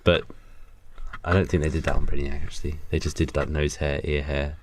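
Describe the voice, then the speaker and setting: A man's voice: rumbling low, little pitch movement, a little fast. A young man chatting as a podcast co-host, arguing comic points in a mock-serious way. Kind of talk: casual comic chat.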